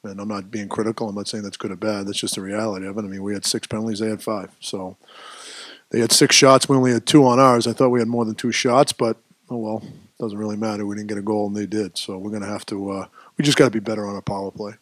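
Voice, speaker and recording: Middle-aged coach, soft trailing delivery. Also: male voice, fairly low pitch, little pitch movement, no room sound. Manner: measured, trailing off, many fillers. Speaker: head coach. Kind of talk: postgame press conference. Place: press room with sponsor backdrop. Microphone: table microphone.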